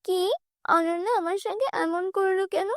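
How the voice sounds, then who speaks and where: Woman voicing a very high-pitched earnest cartoon girl. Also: steady tone, normal speed, dry recording, studio quality. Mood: bewildered. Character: humble, kind-hearted, mistreated girl. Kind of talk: children's fairy-tale cartoon dub.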